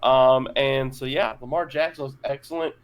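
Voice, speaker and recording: Man, podcast host, mid-range pitch, casual chat, halting with lots of uhs. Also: fairly even intonation, clean tone. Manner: hesitant, stumbling with many fillers.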